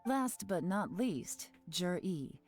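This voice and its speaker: A shrewd, self-possessed young woman from a video game, speaks in a fairly low voice. Her delivery is measured and articulate, with a subtle teasing edge and quiet music behind. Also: expressive, exaggerated voice. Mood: assured, analytical.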